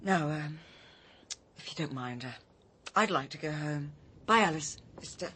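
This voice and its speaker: A woman's voice: deep voice, animated, a little slow, sharp tone, a little hiss or hum, full character voice. An actress playing a polite, uneasy, evasive woman with an English accent in a radio drama, speaking hesitantly. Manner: hesitant, apologetic.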